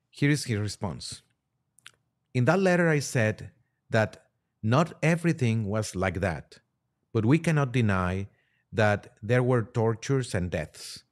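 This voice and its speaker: A man with a fairly low voice speaks as a guest on a talk podcast. He reads passages aloud and adds his own comments, picking his words deliberately, with halting repetition. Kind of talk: reading quotes aloud and commenting.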